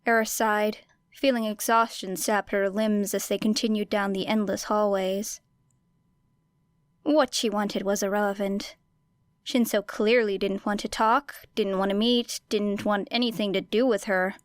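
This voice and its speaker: A woman's voice: medium pitch, steady tone, unhurried, clean tone, dry recording. A woman reading a story aloud as scripted audiobook narration. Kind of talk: scripted fan fiction narration.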